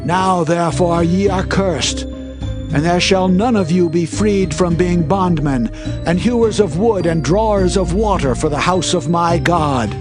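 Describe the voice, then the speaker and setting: An angry bible leader laying down judgment, high voice, commanding, music behind him. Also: male voice, measured pace. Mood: stern, accusing. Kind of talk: dramatized scripture acting.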